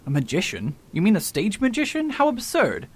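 Man as pompous doubting doctor, slightly high voice, radio play. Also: huge pitch swings, slightly rough, exaggerated voice. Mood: skeptical. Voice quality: brisk, pompous, skeptical. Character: proud skeptical doctor-criminologist, impatient. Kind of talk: amateur radio drama acting.

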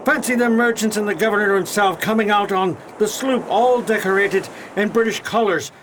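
Guy doing a smug sly pirate, high voice, a bit of noise, radio play. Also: normal speed, fairly bright tone, poor audio. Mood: gloating. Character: gloating, sly male pirate crewman. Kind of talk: period pirate radio drama acting.

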